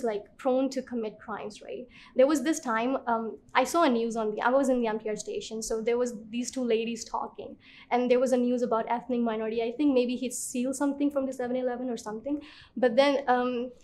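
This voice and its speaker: A woman's voice: a little high, darkish tone, a little hiss or hum. A woman from Hong Kong answering interview questions earnestly, with many "like" fillers.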